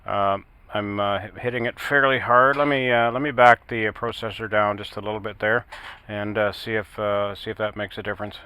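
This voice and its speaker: Bright, clear, even-toned man on amateur radio. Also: lowish voice, brisk, no room sound. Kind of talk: casual technical radio chat with test counts.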